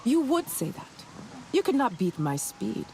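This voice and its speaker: An actress playing a cocky, taunting clan racer in a game, clipped and challenging. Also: female voice, fairly high pitch, big pitch swings, measured pace, gravelly, a little mellow, slight background noise, exaggerated voice. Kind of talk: game character acting.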